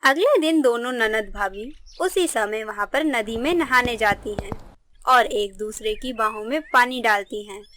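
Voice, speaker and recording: A woman's voice: fairly high pitch, a little slow, a bit bright, slight background noise. A woman narrator reading a scripted moral story.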